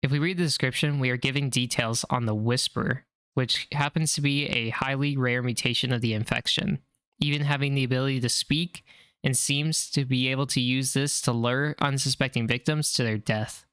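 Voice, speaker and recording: Male, video narrator, horror explainer commentary, medium pitch, dry recording. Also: fairly even intonation, moderate pace, fairly bright tone, smooth, dry recording.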